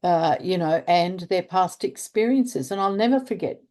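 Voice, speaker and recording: Older aussie lady, low warm voice, earnest, hunts for the right word. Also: animated, moderate pace. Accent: Australian. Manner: earnest, searching for words, emphatic. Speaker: restorative practice trainer. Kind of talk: conversational interview.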